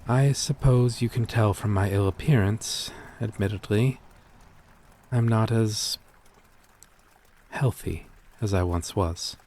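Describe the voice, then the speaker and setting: Weary gentle vampire outcast, played by a man, very slow delivery, lowish pitch, hesitant and formal, intimate ASMR roleplay. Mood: remorseful, confessional. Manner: hesitant, confessional, formal old-fashioned wording.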